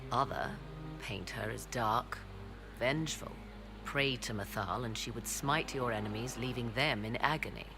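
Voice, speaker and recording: An actress playing a learned, aloof sorceress in a fantasy game, speaking in archaic, measured, theatrical phrases. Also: female voice, very deep voice. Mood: storytelling. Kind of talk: scripted fantasy game dialogue. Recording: music playing behind the voice.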